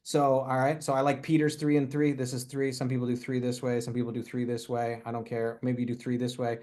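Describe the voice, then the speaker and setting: A man's voice: medium pitch, little pitch movement, a little fast, darkish tone. A man leading a motivational team call over a video link, upbeat and emphatic, hammering home his main points. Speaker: company leader on a team call.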